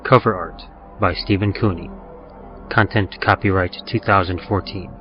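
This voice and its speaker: A man narrating and producing a horror podcast, reading in a low, measured scripted style. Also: steady tone, highs cut off, soft background music. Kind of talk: scripted radio drama narration.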